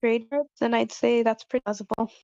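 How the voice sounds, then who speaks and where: A woman's voice: on the high side, steady tone, brisk, creaky, a little mellow, no room sound. A woman giving casual feedback in an informal group chat, with dull, lo-fi sound. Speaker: table-read participant giving feedback. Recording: dull lo-fi call audio.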